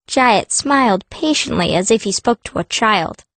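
A woman's voice: a little high, expressive, moderate pace, a bit bright, no room sound. A woman narrating a fantasy audiobook in the first person, as a young girl mage telling her story.

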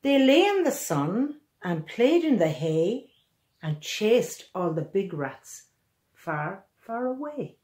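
An older woman in her sixties reads a children's picture book aloud in an animated, expressive way, recorded in a small room at home. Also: mid-range voice. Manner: animated, expressive, deliberate storytelling. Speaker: children's author reading own picture book. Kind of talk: storybook read-aloud for children.

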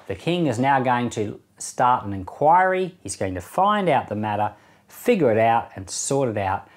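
A middle-aged man speaking as a pastor in a measured, explanatory way, reading and explaining scripture. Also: mid-range voice, a little mellow. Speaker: pastor, Bible podcast presenter. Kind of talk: Bible reading and informal commentary. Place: small indoor meeting room, seated.

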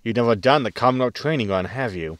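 A man playing a gruff, sarcastic spaceship captain and military pilot in a science fiction comedy audio drama. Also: medium pitch, lively intonation, fast talker, dry recording, clean studio sound, lightly acted. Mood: sardonic. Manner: sardonic, grumbling.

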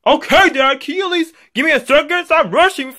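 A man voicing a bratty, defiant young son in an amateur skit, whining and shouting in angry, petulant tantrums. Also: big pitch swings, normal speed, husky, heavily acted. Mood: whiny, irritated. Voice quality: high-pitched, loud. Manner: whiny, shouting, petulant name-calling.

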